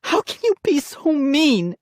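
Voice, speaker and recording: A woman voicing a bratty little sister in a children's scary audiobook, angry and accusing, wailing and shouting. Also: high voice, big pitch swings, unhurried, no echo, clean studio sound, voice pushed up. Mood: outraged wailing.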